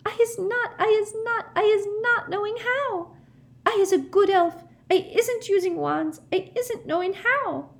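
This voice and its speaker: A woman voicing a frightened female house-elf with a squeaky voice, pleading in broken, stammering speech. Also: a little slow, creaky, exaggerated voice. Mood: terrified pleading.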